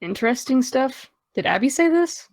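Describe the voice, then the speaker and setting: A woman's voice: high voice, big pitch swings, normal speed, no room sound. An actress playing a guarded, hungover teenage girl, dry, hesitant and defensive, in a naturalistic audio drama. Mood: jealous.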